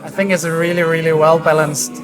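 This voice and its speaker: A man explaining his work as a design director in an interview, in a halting, thoughtful way. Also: on the high side, level tone, over music. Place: busy hi-fi trade show hall. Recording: crowd chatter around the voice. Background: crowd chatter.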